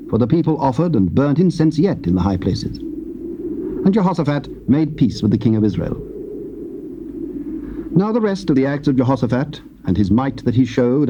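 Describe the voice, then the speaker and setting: A man reads scripture in a measured, stately way, at a middle pitch. The recording sounds dull and carries clear tape hiss. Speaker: narrator.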